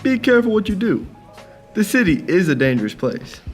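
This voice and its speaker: A man's voice: high-pitched, sing-song, a little fast, soft background music. Man playing a swooping, slyly threatening mayor. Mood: veiled menace. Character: smooth-talking corrupt mayor, veiled threats. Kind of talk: amateur radio drama acting.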